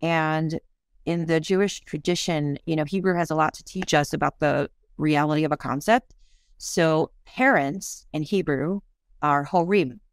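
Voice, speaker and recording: Woman, fifties, parenting coach, earnest, reflective, lower voice, dry close recording. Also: unhurried, no room sound. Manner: earnest, reflective, self-deprecating. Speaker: parenting coach and author, guest. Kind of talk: podcast interview conversation.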